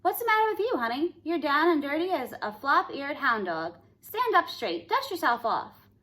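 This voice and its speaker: A woman's voice: sits high, big pitch swings, pushed and bright, pitched above the performer's own voice, exaggerated voice. A woman reading a storybook aloud and voicing a feisty old fairy godmother who talks in folksy ranch slang. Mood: brisk. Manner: bossy, brisk, drawling ranch slang.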